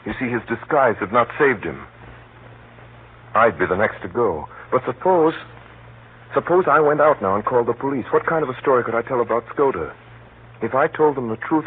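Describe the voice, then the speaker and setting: A man playing a grave, resourceful adventurer, telling his own story as narrator of a 1930s radio thriller in a measured, suspenseful way. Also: medium pitch, breathy edge. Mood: tense. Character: resourceful South African adventurer recounting his peril, grave. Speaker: narrator and lead actor. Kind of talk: dramatic first-person narration, 1930s radio acting. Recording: tinny, hissy 1930s radio recording.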